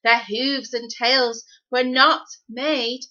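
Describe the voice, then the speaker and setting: A woman reading a children's story expressively, with deliberate, dramatic phrasing. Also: fairly high pitch, fairly even intonation, sharp tone. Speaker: storybook reader. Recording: slightly dull, lo-fi sound.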